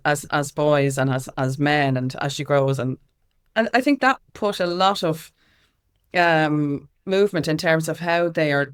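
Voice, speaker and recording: A middle-aged woman with an Irish accent explaining things in an interview, emphatic and repeating phrases for weight. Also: deep voice. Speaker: interview guest, music consultant and activist.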